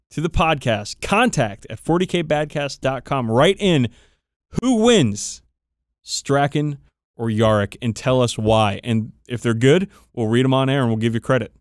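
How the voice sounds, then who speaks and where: A man's voice: medium pitch, lively intonation, moderate pace, no room sound, clean studio sound. A man co-hosting a podcast in casual chat, emphatic, irreverent and full of swearing. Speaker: podcast co-host. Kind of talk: casual banter about tabletop wargaming.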